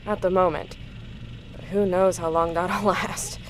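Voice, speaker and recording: A woman's voice: fairly low pitch, fairly even intonation, a little slow, close to an everyday voice. Actress as a weary woman survivor, faint noise. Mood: weary, wry. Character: Angela, weary, shaken, profane woman survivor of an apocalypse. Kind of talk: audio drama acting.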